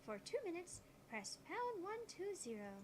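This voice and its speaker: A high, cheerful girlish guide voice, put on by a woman for a visual novel read-aloud. She gives instructions brightly, with clear noise in the recording. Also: sing-song, moderate pace. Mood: bright, instructive. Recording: clearly noisy background.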